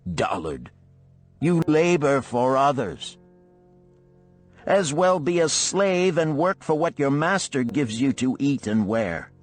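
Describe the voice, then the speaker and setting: A man's voice: medium pitch, sing-song, takes it slowly, soft background music, pitched above the performer's own voice. A man voicing a stern, blunt old moneylender mentor who lectures and scolds emphatically in a storybook parable. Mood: scolding. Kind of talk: audiobook parable character dialogue.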